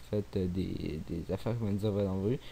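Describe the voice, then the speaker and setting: A man's voice: deep voice, fast talker, creaky, warm tone. A young man with a Québécois French accent, commenting casually on his own gameplay, rambling with many fillers and restarts. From Quebec. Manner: rambling, many fillers and restarts, sometimes drops to near mumble. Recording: faint hiss.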